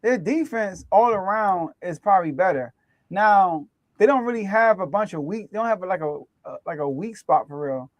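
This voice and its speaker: A man with a fairly high voice talks football in loose, rambling banter. He restarts his sentences and laughs along the way. The sound is dry and close to the mic. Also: expressive, moderate pace, no echo. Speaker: sports show host. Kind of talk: casual sports chat.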